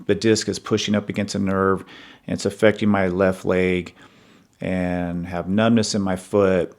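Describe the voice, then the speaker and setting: A man in his fifties hosting a podcast, talking earnestly and in a measured, conversational way about his own life. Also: deep voice. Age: 50s. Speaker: podcast host. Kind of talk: personal reflective monologue.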